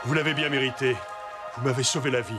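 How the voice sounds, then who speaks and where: A man voicing a dignified, grateful adult tribesman in a French cartoon, solemn and measured. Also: medium pitch, fairly even intonation, over loud music, natural voice. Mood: grateful, admiring.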